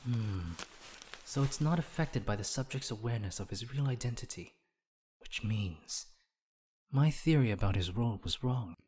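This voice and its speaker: A man playing a sly, enigmatic manipulator in a comic dub, smooth-talking and deliberate, with faint noise behind. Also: mid-range voice, expressive, darkish tone. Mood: pensive, restless. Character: sly, enigmatic long-haired deal-maker, coolly manipulative. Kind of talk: dramatic comic dub acting.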